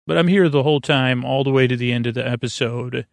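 A man hosting a bedtime podcast in a rambling, halting monologue full of tangents, with a gently whimsical, playful manner. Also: mid-range voice, vocal fry, dry recording. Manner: meandering, halting, self-interrupting tangents. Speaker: podcast host.

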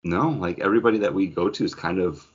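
A man's voice: low voice, quick pace, slightly rough, highs cut off. A man co-hosting a podcast, talking casually and explaining his views in a conversational way. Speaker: podcast co-host. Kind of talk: casual group discussion about comics.

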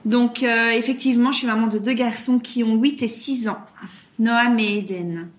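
A woman in her thirties from Brussels with a Belgian French accent, talking as an interview guest, often pausing on "euh". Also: a little high, fairly even intonation. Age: 30s. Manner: hesitant, many "euh" fillers. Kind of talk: casual interview talk.

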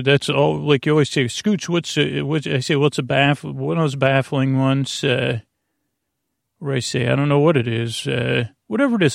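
A man with a creaky, lulling voice hosts a sleep podcast, rambling haltingly and drifting into tangents and self-interruptions. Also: mid-range voice, no echo, clean studio sound. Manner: lulling, soothing. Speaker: podcast host. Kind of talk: rambling, meandering bedtime monologue to help listeners sleep.

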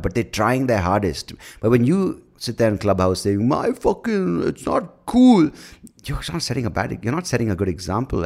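A man hosting his own podcast, talking alone in a blunt, profane, sarcastic way, rambling through asides and jokes. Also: on the low side. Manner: breaks into mocking shouts and laughs at his own jokes. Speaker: podcast host. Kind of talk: casual solo podcast monologue.

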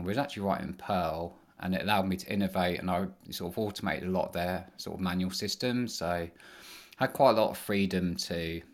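A man, a podcast guest, answering casually and loosely with many fillers. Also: sits low. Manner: relaxed, rambling with many fillers. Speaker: podcast guest, engineering manager.

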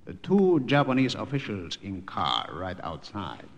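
A watchful Siamese driver in an old radio play. The voice is low but lively in pitch, polite and clipped, ringing in a long hollow reverb. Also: male voice, unhurried, creaky, noisy background, exaggerated voice. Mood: guarded. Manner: clipped, formal broken English, refers to himself by name. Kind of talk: 1950s radio drama acting.

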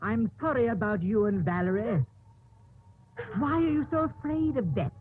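Radio play villain: lovesick murderous doctor, fairly high voice, brooding and intense, on hissy old broadcast. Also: male voice, expressive, moderate pace. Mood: coldly menacing. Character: menacing, lovesick male doctor turned murderer. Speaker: radio drama actor. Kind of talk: 1950s radio drama acting. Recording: muffled, noisy 1950s radio broadcast recording.

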